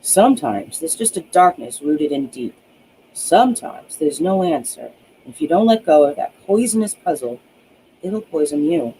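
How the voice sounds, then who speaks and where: A man's voice: fairly high pitch, sing-song, moderate pace, mellow, a little hiss or hum, poor audio. A man voicing a weary, protective, sardonic ex-cop in an audiobook, earnest and plain-spoken. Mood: earnest, reassuring.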